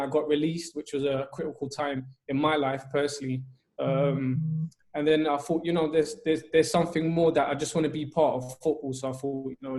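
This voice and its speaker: A man's voice: fairly high pitch, darkish tone. A man from Albania, a youth football coach telling his story earnestly and steadily, with many ums.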